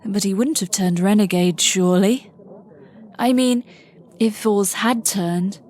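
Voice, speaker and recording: An actress playing an earnest, anxious warden, gently insistent, in a fantasy audio drama. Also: female voice, mid-range voice, fairly even intonation, a little slow, close to an everyday voice.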